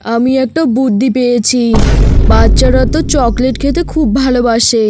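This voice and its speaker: A woman's voice: a little high, steady tone, normal speed, noisy background, natural voice. Woman doing a sly, greedy bird kidnapper. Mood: scheming. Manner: scheming, coaxing.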